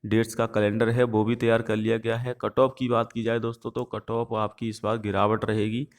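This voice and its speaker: A man giving viewers an informational update as the host of an online video channel. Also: medium pitch. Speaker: YouTube host giving exam news updates.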